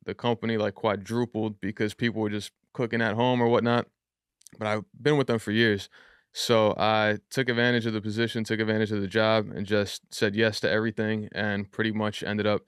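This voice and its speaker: A man's voice: on the low side, a bit bright, clean tone, no room sound, clean studio sound. A young adult man, a rapper as a guest on a podcast, talking loosely and at length with plenty of fillers. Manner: loose, chatty, frequent fillers. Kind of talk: casual interview talk.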